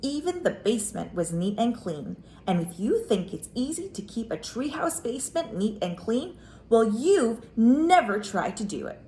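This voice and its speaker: A woman's voice: fairly high pitch, sing-song, normal speed, mellow, a little hiss or hum. A woman reading a children's picture book aloud as a storyteller, expressively, drawing out key words for emphasis.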